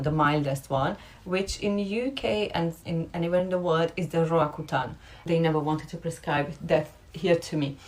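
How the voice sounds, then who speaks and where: A young woman from Romania, a content creator, talking candidly and directly to her viewers in a casual vlog. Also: lowish voice.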